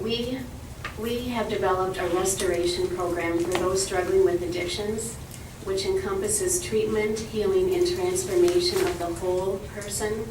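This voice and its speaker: A soft-spoken woman presenting a program from prepared notes at a meeting. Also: mid-range voice. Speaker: ministry representative. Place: meeting room. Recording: roomy, distant, noisy room recording.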